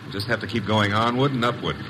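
An actor playing a wisecracking, brash detective's assistant in an old-time radio drama, sardonic and breezy. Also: male voice, lowish voice, fast talker, bright, forceful tone. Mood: breezy teasing. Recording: noisy vintage radio recording.

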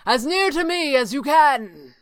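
A woman's voice: a little high, sing-song, normal speed, no echo, pitched above the performer's own voice. A woman voicing a gallant, composed male sorcerer in a pulp adventure audiobook, old-fashioned and authoritative. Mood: strained, urgent.

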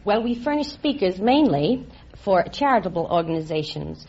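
A woman's voice: mid-range voice, sing-song, normal speed, darkish tone, husky. A middle-aged American woman answering quiz show questions in an articulate, forthcoming way. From Staten Island, USA. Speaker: quiz contestant. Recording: muffled old radio recording.

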